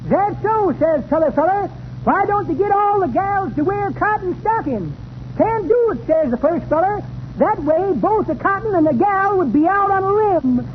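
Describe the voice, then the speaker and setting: Through heavy noise on a tinny 1930s radio broadcast comes a rustic old-timer. His voice is extremely high and he takes his time, spinning jokes like a folksy geezer. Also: lively intonation, moderate pace. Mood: jovial, wheezy storytelling. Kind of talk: 1930s radio comedy acting.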